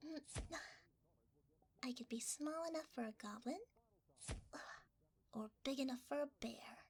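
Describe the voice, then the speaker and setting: A woman's voice: sits high, takes it slowly, slight background noise. A woman voicing a shy, cuddly shapeshifting creature in an ASMR roleplay, hesitant and easily flustered, often half-whispering. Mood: soft, playful.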